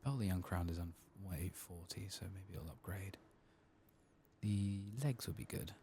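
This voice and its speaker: A man speaking softly and often whispering in ASMR style as he comments on a game he plays, close to the microphone. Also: deep voice, slight background noise. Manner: hushed, reads quest text aloud slowly. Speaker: ASMR gaming streamer narrating a playthrough.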